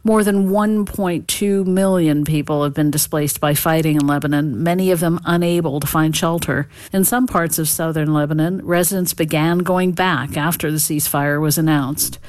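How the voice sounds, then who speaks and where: A woman's voice: deep voice, steady tone, moderate pace, few pauses, a little mellow, no echo. Serious female reporter, dry sound. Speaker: correspondent. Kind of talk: news report.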